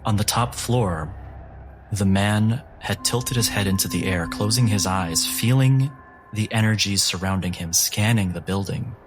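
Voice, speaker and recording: Low-ish guy running a tabletop game, real slow and deliberate, music playing behind. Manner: slow, deliberate storytelling delivery. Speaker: game master.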